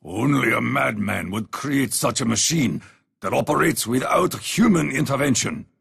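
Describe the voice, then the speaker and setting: Very husky, gravelly soldier. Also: male voice, mid-range voice, animated, moderate pace, a bit bright, full character voice. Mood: grim, urgent. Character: male soldier fighting giant war machines. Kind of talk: scripted video game character lines.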